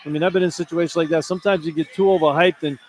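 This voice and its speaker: A man's voice: a little high, steady tone, rapid-fire, a little mellow, a little hiss or hum. An American man giving informal, conversational color commentary on a sports radio broadcast, sometimes repeating himself. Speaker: color analyst.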